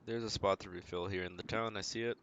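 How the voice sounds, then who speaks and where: A man giving casual, low-key video game commentary, thinking aloud as he plays. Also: steady tone, fast, creaky, fairly bright tone, highs cut off, a little hiss or hum. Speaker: game streamer.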